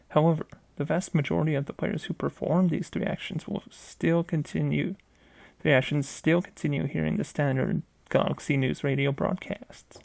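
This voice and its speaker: Halting male narrator with a creaky voice. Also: on the high side, a little mellow, dry recording. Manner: reads aloud haltingly, stumbling and repeating phrases. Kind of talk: scripted narration of a video game mystery story.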